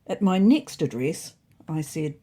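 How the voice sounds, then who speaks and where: A woman with a slightly husky voice reading a scripted comic first-person story in an expressive, indignant, in-character manner. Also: sits low, a little slow. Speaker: narrator of an audio story.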